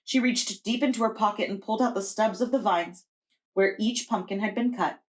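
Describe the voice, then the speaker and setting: A woman preschool teacher reading a children's storybook aloud in a deliberate, expressive storytelling way. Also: medium pitch, poor audio.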